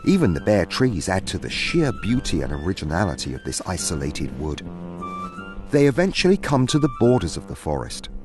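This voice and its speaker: A deep-voiced man narrates a fantasy tale like a dramatic storyteller. His pitch moves around expressively, and soft music plays underneath. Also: wildly swooping pitch, a little fast. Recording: music behind.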